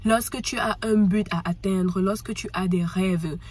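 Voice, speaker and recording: A woman's voice: medium pitch, fairly even intonation, a little slow, a little mellow, slightly rough. A woman with a cold giving an earnest motivational talk, speaking directly to the listener and repeating her points. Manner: earnest, repetitive, addresses listener as tu. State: has a cold.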